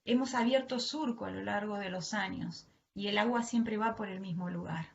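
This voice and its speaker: A woman's voice: medium pitch, moderate pace, creaky. A woman with an Argentine Spanish accent giving a devotional teaching to other women, addressing her listeners earnestly and affectionately. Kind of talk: devotional sermon to an online audience. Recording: dull lo-fi online audio, faint noise.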